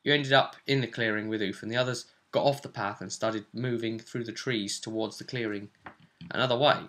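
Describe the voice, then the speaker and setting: A man's voice: fairly low pitch, fairly even intonation, fairly quick, fairly bright tone. A man giving a casual, rambling recap of a tabletop role-playing session as the game master.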